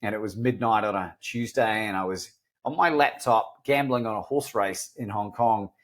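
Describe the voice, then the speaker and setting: A man's voice: on the low side, moderate pace. A middle-aged man with a mixed New Zealand and Australian accent, telling his life story as a podcast guest in an earnest, self-deprecating way with frequent restarts. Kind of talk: candid storytelling interview.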